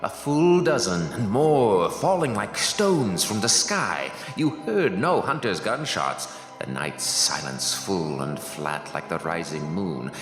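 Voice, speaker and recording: Man as ominous, very deep horror announcer. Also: exaggerated voice. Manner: hushed, portentous, dramatic. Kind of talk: dramatic horror radio intro narration. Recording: echoey reverb with music bed.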